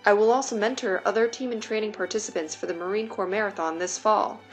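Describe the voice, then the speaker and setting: A woman in her late twenties from New York City, whose first language was Mandarin Chinese, reading a personal essay aloud in a measured, deliberate way. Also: mid-range voice, fairly even intonation, faint music behind. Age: late 20s.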